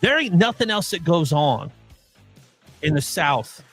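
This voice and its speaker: A man with a somewhat high voice talks sports in a loose, rambling way, often restarting his sentences. His accent is a blend of Midwestern and Oklahoma speech. Faint music plays behind him. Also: a little slow. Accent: mixed Midwestern and Oklahoma. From Midwestern United States. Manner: animated, rambling with many restarts. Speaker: co-host. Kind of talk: casual sports chat.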